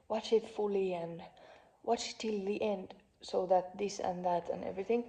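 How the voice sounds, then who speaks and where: A woman in her twenties with a Finnish accent, talking in a soft, halting, unscripted vlog monologue from a couch at home. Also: medium pitch, poor audio. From Finland. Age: 20s. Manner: soft-spoken, halting, frequent fillers. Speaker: vlogger. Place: parents' living room couch.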